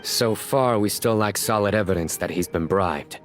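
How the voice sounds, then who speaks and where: A man playing a grave, methodical law enforcer in a video game, formal and deliberate in his speech. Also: on the low side, soft background music, close to an everyday voice. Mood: grave, analytical. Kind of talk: scripted video game voice acting.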